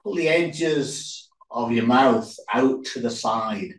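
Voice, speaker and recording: A man leading a yoga relaxation as instructor, speaking calmly in short, unhurried phrases with pauses. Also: medium pitch. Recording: roomy echo, distant microphone.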